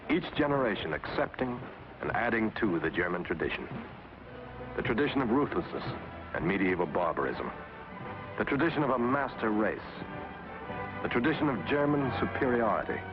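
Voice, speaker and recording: A man's voice: low voice, dull audio. An American man reading scripted 1940s propaganda film narration, grave, oratorical and emphatic, on an old film soundtrack. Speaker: documentary narrator.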